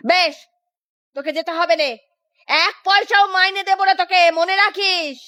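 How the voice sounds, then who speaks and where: A woman playing a bossy, sharp-tongued village landlady in a cartoon, scolding and giving orders. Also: very high-pitched, steady tone, moderate pace. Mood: angry, scornful. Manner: scolding, commanding. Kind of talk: Bengali cartoon dubbing.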